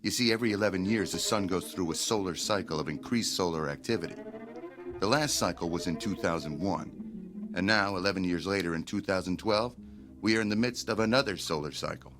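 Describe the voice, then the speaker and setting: An actor playing a dutiful agent who reports and explains in a matter-of-fact way in a drama. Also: male voice, sits low, brisk, over music, natural voice. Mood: explanatory.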